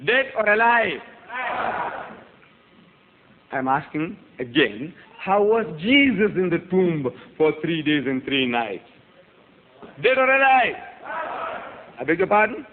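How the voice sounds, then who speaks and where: An emphatic man whose first language is Gujarati lectures a live audience, hammering his points with repeated rhetorical questions. His voice sits high and jumps from loud peaks to quiet asides. The recording is thin and tinny, like a phone line. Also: big pitch swings, unhurried. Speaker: public debater.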